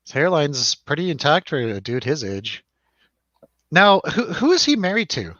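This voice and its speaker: Animated man hosting a film chat, dull sound. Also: fairly high pitch, normal speed, no echo. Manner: rambling, jokey, puts questions to co-host. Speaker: host of a film review show. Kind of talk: casual chatty film discussion over drinks.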